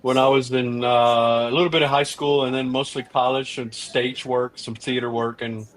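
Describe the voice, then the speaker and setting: A middle-aged man speaks as a podcast guest in an earnest, animated way. He restarts often and leans on fillers as he explains his views. His voice sits at an ordinary middle pitch. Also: normal speed, slight background noise, rough recording. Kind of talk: casual interview chat.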